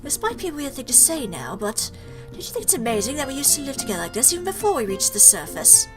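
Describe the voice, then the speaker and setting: A woman voicing a caring, motherly goat monster from a visual novel, gentle, concerned and formal in her wording. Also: high voice, very animated, fast, music in the background. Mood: tender, concerned. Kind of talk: visual novel dialogue read aloud.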